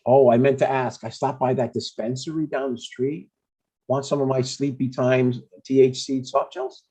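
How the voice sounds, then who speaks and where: A man reads the part of an older father in a comedy script. His voice is soft-edged and husky. He chats breezily and needles gently, stubborn in an everyday, natural way. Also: medium pitch, level tone, normal speed, natural voice. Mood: pleased, chatty.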